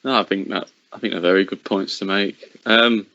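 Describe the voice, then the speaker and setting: Low-voiced young interviewer. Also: male voice, fairly even intonation, a little fast, pushed and bright, dry recording. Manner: encouraging, frequent backchannel agreement. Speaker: podcast host. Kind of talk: interviewer asking questions. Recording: dry lo-fi recording.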